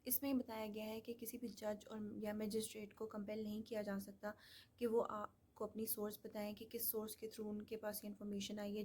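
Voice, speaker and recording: A woman's voice: mid-range voice, warm tone, slight background noise. A woman giving an explanatory lecture, methodical and plain, mixing in English terms. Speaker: law lecturer.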